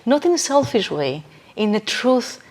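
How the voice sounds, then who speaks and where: A woman's voice: fairly high pitch, very animated, moderate pace, darkish tone. A woman speaking as a podcast guest and coach in a conversational, motivational way, stressing key words emphatically.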